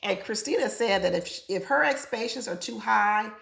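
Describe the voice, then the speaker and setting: A woman's voice: medium pitch, expressive, moderate pace, creaky, a bit bright, roomy sound. A woman chatting straight to her viewers in an opinionated, chatty way, sounding congested from being sick. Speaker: reality TV commentator. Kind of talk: casual commentary chat.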